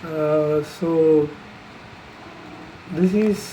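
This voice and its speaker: Tutorial presenter: unhurried, halting, plain-spoken, soft mellow timbre, walking through hardware off the cuff. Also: medium pitch, dull audio, slight background noise. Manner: halting, pausing mid-phrase, matter-of-fact. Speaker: installer presenting a product tutorial. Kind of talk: unscripted product demonstration, explanatory.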